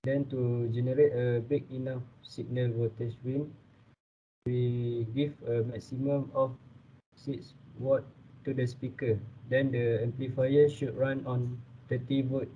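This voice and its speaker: Tinny-sounding young Malaysian man presenting, flat delivery. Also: mid-range voice, a little slow. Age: young adult. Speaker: student presenter. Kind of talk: scripted academic presentation. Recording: tinny phone-like audio, faint noise.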